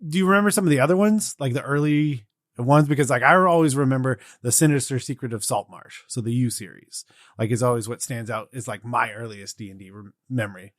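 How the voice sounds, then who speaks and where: A man's voice: mid-range voice, brisk, dry recording, clean studio sound. A man co-hosting a podcast in a casual, chatty style. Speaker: podcast co-host.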